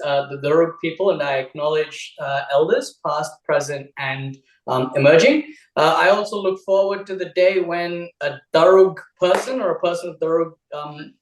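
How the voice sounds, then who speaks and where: A man born in India who grew up in Australia, speaking with an Australian accent as co-host of a casual chat, animated and teasing. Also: a little high, echoey room, far from the mic, rough recording. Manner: animated, teasing, repeats words when restarting.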